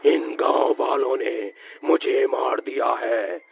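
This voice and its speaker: A man's voice: mid-range voice, slow pace, vocal fry. A man playing the vengeful ghost of a murdered farmer in a cartoon horror story, with a menacing growl. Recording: muffled lo-fi audio with music behind.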